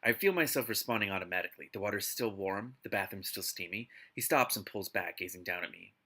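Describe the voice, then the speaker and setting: Man, lowish pitch, sharp bright tone, book read-aloud host, expressive exasperated asides. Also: fast. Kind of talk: live read-aloud with casual reactive commentary.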